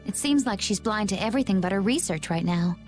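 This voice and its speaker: An actress playing a calm, fearless young woman in a video game, composed and gently teasing. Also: mid-range voice, fast talker, soft background music, close to an everyday voice. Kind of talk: video game cutscene acting.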